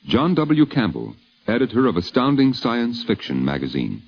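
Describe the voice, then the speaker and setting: An American man narrating a 1950s radio documentary in a literary, measured and dramatic way, on a vintage recording. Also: mid-range voice, huge pitch swings, gravelly, muffled recording.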